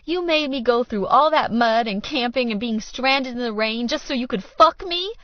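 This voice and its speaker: A woman's voice: on the high side, animated, moderate pace, dry recording, dull audio, voice pushed up, natural voice. A woman narrator voicing a hurt, angry young woman with a quavering, accusing delivery in an audiobook romance. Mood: furious, hurt. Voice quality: wobbly, quavering. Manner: emotional, accusing, crying out. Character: hurt, angry young woman confronting her lying lover.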